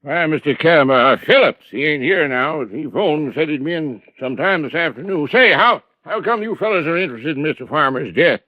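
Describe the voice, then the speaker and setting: An actor playing a chatty, obliging old lodge foreman in an American radio drama, with a rustic, halting way of talking and repeating himself. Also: on the high side, lively intonation, no room sound. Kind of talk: 1950s radio drama acting. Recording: dull old radio recording.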